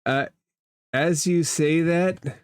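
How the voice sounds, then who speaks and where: A man's voice: a little high, drawn-out words, smooth, no echo. A man running a tabletop role-playing game as the game master.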